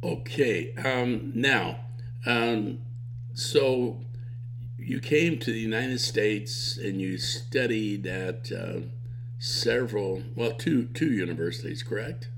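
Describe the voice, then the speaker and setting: Male podcast host, gravelly, hesitant with frequent fillers. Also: lowish voice, fairly bright tone, slight background noise. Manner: halting, many fillers and restarts. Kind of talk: interview podcast.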